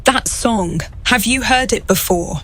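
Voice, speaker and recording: An actress playing a composed, cool-headed adventurer in a fantasy game, measured and self-possessed. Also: female voice, a little high, a bit bright.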